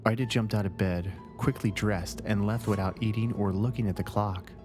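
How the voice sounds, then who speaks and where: A man narrating a scary story from a script in measured, deliberate phrases. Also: fairly low pitch, steady tone. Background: background music.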